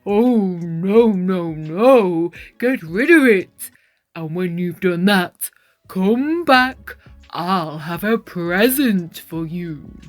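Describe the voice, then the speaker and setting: A woman voicing a big male storybook crocodile, humbled and grateful, with a loud, emphatic, drawn-out delivery. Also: lowish voice, lively intonation, faint music behind, voice pushed down. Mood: insistent.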